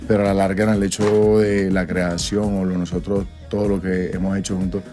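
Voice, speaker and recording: A young Colombian man in his twenties speaks in a fairly low voice, loose and slangy, circling back on his words as he answers. Soft music runs faintly underneath. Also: level tone, fairly quick. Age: 20s. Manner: slangy, rambling, full of fillers and restarts. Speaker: singer being interviewed. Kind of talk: casual interview conversation.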